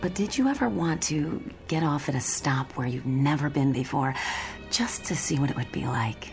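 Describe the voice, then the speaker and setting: Very low-voiced, wistful American woman commuter. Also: moderate pace, a little mellow, soft background music. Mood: friendly, wistful. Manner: wistful, tentative, conversational. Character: friendly, curious, lonely female train commuter. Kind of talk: modern radio drama acting.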